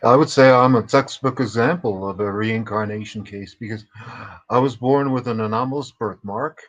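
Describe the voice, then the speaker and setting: A man's voice: medium pitch, moderate pace, highs cut off. A man in his fifties with a Czech accent, co-hosting a livestream and telling his story in a precise, methodical way. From Czech Republic. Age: 50s. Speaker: co-host. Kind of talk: livestream conversation.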